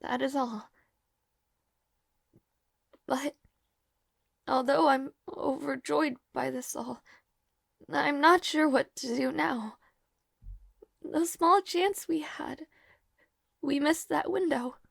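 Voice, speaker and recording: A woman playing a nervous, lovestruck young maid in a romantic audio roleplay, halting and emotional, putting herself down. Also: high-pitched, no room sound, clean studio sound, heavily acted. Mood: shy, tender. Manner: halting, stammering, breathy pleading delivery.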